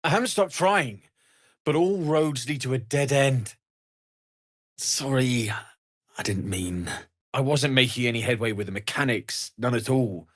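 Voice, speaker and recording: Young tiefling smith in a video game, kind n earnest, gentle measured talk, mid pitched voice. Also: male voice. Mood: regretful, subdued. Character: earnest, kindly young male tiefling blacksmith. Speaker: voice actor. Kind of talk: video game character dialogue.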